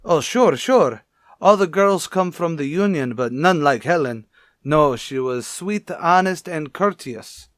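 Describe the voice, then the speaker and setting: A man's voice: fairly high pitch, lively intonation, a little slow, fairly bright tone, no room sound, studio quality. A man playing a mournful, emphatic restaurant boss answering police questions in a radio crime drama, plaintive and repetitive. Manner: emphatic, plaintive, repeats himself. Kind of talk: old-time radio crime drama acting.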